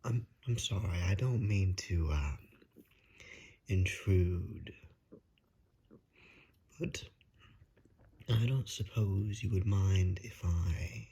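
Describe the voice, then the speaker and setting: Man playing a hushed, low-voiced courteous gentleman vampire. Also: exaggerated voice. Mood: ingratiating. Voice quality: soft, breathy, often whispered. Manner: polite, old-fashioned, hesitant, flattering. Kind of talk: ASMR roleplay.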